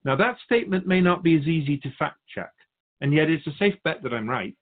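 A man speaking as a spiritual guide, answering in a measured, assured, didactic way in philosophical conversation. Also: a little high, animated, narrow, tinny audio.

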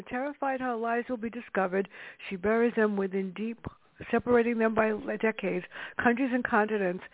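A woman's voice: a little high, no echo. Woman radio host asking questions, stumbles a bit, dry tinny sound. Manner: reads intro, asks halting questions. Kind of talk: radio interview.

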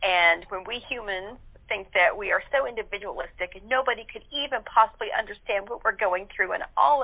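Reflective woman guest on a phone line. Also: mid-range voice. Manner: measured, reflective, searching for words. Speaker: guest, radio play cast member. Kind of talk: casual interview chat.

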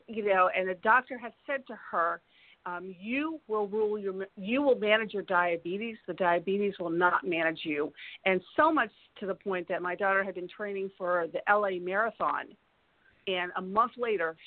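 Halting woman in her sixties, phone-like sound. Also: medium pitch, dry recording. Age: 60s. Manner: halting, pausing often mid-sentence. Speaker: podcast guest. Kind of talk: personal storytelling in conversation.

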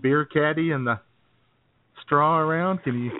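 A man's voice: fairly high pitch, unhurried, no echo. A man co-hosting a podcast, teasing his partner and laughing often in casual banter. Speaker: podcast co-host. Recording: tinny phone-like sound.

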